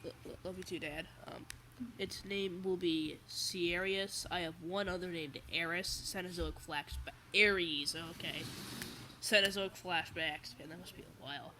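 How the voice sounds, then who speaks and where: Bright-voiced teen boy with a stuffy nose. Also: sits high, steady tone, a little slow, slight background noise, poor audio. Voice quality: slightly raspy. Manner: rambling, restarts often, chatty. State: stuffy nose, under the weather. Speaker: toy collector video host. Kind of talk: casual livestream chat.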